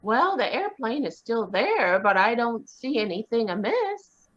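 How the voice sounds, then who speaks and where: A woman's voice: fairly high pitch, sing-song, measured pace. A woman playing a tart, skeptical young woman. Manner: tart, sarcastic. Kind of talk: old-time radio play reading. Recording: dull lo-fi video call audio.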